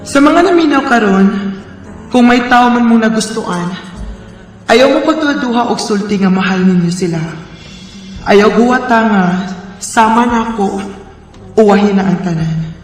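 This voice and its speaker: A woman's voice: on the high side, lively intonation, normal speed, long pauses, lightly acted. A woman with a Cebuano Filipino accent playing a lovelorn young woman in a radio drama, confessing a long-hidden love. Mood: regretful, sincere. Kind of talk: Bisaya radio drama acting. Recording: music behind the voice.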